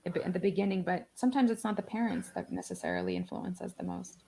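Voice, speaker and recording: A woman's voice: on the low side, fast talker, gravelly, mellow. A woman hosting an interview on a video call, upbeat and loose in her phrasing, often laughing. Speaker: host. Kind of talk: conversational interview.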